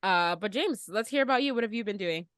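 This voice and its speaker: A woman's voice: mid-range voice, expressive, quick pace, a bit bright, no room sound, studio quality. A woman chatting casually as a podcast co-host, with an informal, giggly manner. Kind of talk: casual chat.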